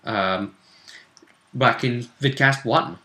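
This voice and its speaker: A man with a bright, forward voice and a middle-range pitch, co-hosting a gaming show. He chats casually, like a commentator weighing up contestants, and the room around him echoes noticeably. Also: expressive, extremely slow. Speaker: co-host of a gaming vidcast. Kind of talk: casual speculative commentary on a tabletop role-play tournament.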